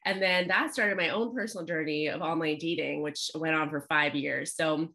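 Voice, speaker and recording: A woman's voice: deep voice, moderate pace, vocal fry, bright, forceful tone. A woman from Los Angeles, a relationship coach, telling her story in a chatty, upbeat podcast interview. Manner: chatty, upbeat, frequent fillers.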